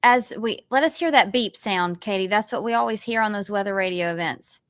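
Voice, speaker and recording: A woman's voice: mid-range voice, fairly quick, fairly bright tone. A woman presenting a live local TV news segment as the anchor.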